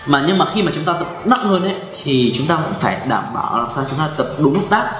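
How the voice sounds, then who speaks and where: A young man in his twenties giving beginner gym advice to camera, animated and talking with his hands. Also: on the high side, fast, roomy sound, soft background music. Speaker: fitness coach. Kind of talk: instructional vlog talk. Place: indoor room with carved wooden furniture.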